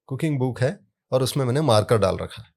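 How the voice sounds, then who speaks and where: A man explaining sound therapy in a relaxed podcast conversation, telling stories from his work and leaning hard on key words. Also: medium pitch, brisk, gravelly. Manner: storytelling with anecdotes, emphatic rises on key words.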